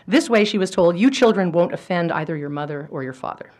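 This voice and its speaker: A middle-aged woman gives a history lecture in a lecture hall. Her voice sits a little low. She speaks thoughtfully and often restarts her phrases with small fillers. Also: animated, brisk, darkish tone. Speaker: history professor giving a lecture. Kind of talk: academic lecture with quotations.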